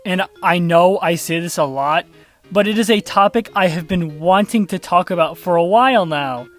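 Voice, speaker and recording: A man with a fairly high voice talks through casual video commentary. He rambles sarcastically and swears freely, with faint music running behind him. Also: moderate pace. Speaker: YouTube commentator.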